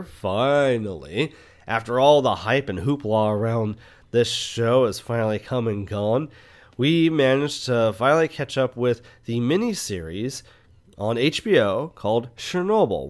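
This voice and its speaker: Casual man chatting about films. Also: medium pitch. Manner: conversational, enthusiastic, punctuated with "right?" and "you know". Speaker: movie podcast host. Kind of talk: casual film review chat.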